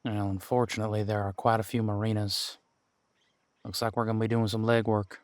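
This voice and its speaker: Low-voiced, wry seasoned detective, acted by a man. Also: level tone, no room sound, close to an everyday voice. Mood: businesslike. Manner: musing, unhurried, folksy colloquial phrasing. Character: seasoned male detective, patient, wry mentor to a rookie. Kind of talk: roleplay audio drama, one-sided conversation.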